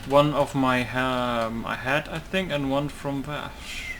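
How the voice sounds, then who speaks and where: A man's voice: mid-range voice, fairly even intonation, slow pace, a bit bright. A man giving casual let's play commentary, thinking aloud in a musing way. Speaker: gaming YouTuber.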